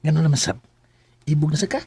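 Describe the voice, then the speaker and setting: A man's voice: fairly high pitch, quick pace, dark tone, slightly rough, no room sound. An actor playing a cheerful, pushy young male buddy with a Filipino Bisaya accent, bantering in a radio drama. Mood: teasing. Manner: bantering, questioning tags.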